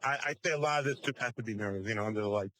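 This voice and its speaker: A man's voice: fairly low pitch, very fast, pushed and bright, no echo, poor audio. A man doing color commentary on a live card game match, playful, teasing and sarcastic.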